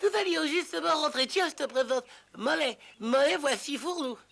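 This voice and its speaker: A man's voice: very high-pitched, expressive, moderate pace, creaky, pushed and bright, full character voice. A man voicing an earnest, polite male water rat in a French cartoon dub, speaking a little hesitantly.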